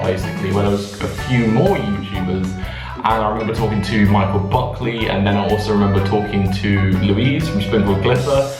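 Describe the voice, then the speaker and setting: A young British man telling a casual story to viewers in a chatty, rambling way that often interrupts itself. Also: lowish voice. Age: young adult. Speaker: vlogger. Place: indoors in a bathtub in a bathroom. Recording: echoey bathroom with music behind.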